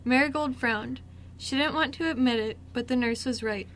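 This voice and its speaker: A woman's voice: high-pitched, moderate pace, a bit bright. A young woman reading scripted narration for an amateur radio play. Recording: faint background noise.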